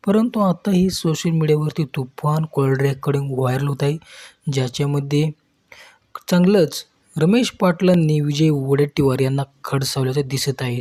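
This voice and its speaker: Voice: mid-range voice, dark tone. A presenter giving scripted news-style commentary addressed to viewers.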